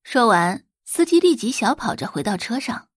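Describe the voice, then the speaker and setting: A woman narrating a scripted audio drama in an expressive storytelling manner. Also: on the high side, moderate pace, husky, no echo, clean studio sound.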